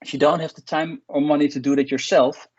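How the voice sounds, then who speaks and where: A man's voice: fairly high pitch, level tone, a little fast, husky, no room sound. A man, an export coach giving a plain-spoken online workshop talk with many restarts and fillers. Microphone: video call. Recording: dull lo-fi video call audio.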